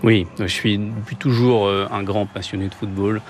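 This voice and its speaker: A man's voice: fairly low pitch, level tone, moderate pace, a little hiss or hum. A man in his thirties with a French accent from France, explaining his thoughts reflectively as a novelist being interviewed. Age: 30s. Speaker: guest, novelist. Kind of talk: interview conversation.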